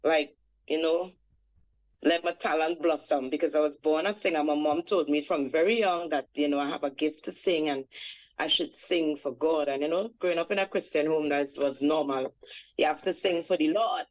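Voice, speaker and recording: A woman's voice: sits low, normal speed, muffled recording. A woman in her forties from Trinidad with a Trinidadian accent, a reggae singer guest recounting her life in a reflective, storytelling way with many fillers. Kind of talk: radio interview.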